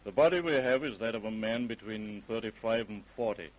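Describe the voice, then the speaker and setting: A man playing an unhurried American police doctor in an old-time radio crime drama. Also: fairly low pitch, a little hiss or hum, natural voice, poor audio. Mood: matter-of-fact.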